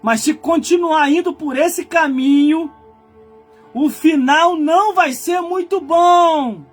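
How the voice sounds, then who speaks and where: A man in his forties from Brazil, a pastor preaching a fiery sermon that swings from quiet muttered asides to loud shouted warnings. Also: very high voice, takes it slowly, soft background music. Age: 40s. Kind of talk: fiery Pentecostal-style sermon.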